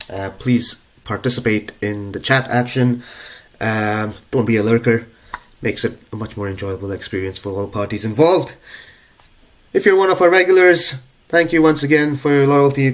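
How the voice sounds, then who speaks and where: A young man with a stuffed-up cold voice hosts a live prize draw stream. He chats loosely to the viewers, filling gaps with ums and uhs, at a mid pitch for a man. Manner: chatty, relaxed, lots of ums and uhs. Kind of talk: casual live-stream chat.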